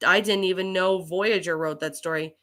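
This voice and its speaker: The woman you would find hosting a small hobby livestream while nursing a cold, greeting commenters one by one in a bright, halting voice. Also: on the low side, fairly even intonation. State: getting over a cold with allergies. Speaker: livestream host and creepypasta narrator. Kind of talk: casual livestream chat, reading and answering viewer comments.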